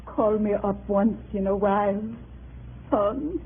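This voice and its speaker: An actress playing a heartbroken older mother, pleading in a 1950s radio drama. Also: medium pitch. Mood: tender, wistful. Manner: slow, pleading delivery. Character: heartbroken older mother of a grown undercover agent. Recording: noisy, narrow old radio recording.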